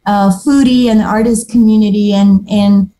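A woman's voice: mid-range voice, steady tone, normal speed, dark tone. A woman, chatting as an interview guest and laughing often. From Moldova. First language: Moldovan and Russian. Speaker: guest artist. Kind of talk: casual conversation.

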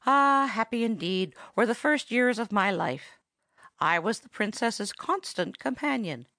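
A woman's voice: on the high side, expressive, measured pace, bright, forceful tone, no room sound, pitched above the performer's own voice, exaggerated voice. A woman voicing a vain, pompous female parrot who tells stories in a grand, easily offended manner. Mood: proud, boastful.

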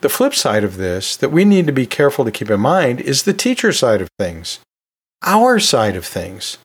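A middle-aged or older man hosting an educational podcast, talking conversationally and emphatically, with pauses and rhetorical asides. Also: medium pitch, wildly swooping pitch, slightly rough. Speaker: podcast host and college instructor.

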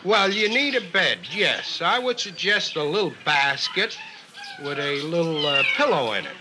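Halting, higher-voiced shopkeeper, man, very noisy recording. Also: very animated. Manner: halting, explanatory, throat-clearing, plain-spoken. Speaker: pet shop keeper. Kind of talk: unscripted shop advice to a customer. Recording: very noisy 1950s field recording.

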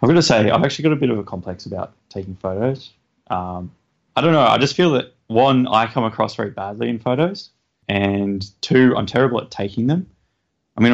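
A man co-hosting a casual podcast, talking hesitantly with many fillers and a self-deprecating manner, feeling low on energy. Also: vocal fry. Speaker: podcast co-host. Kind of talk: casual chat. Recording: dull lo-fi sound.

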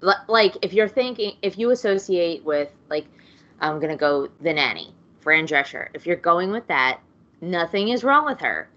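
A woman's voice: on the low side, very animated, moderate pace, a bit bright. A woman chatting casually about a book, rambling through asides and many fillers. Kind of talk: casual chat. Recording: dull lo-fi sound.

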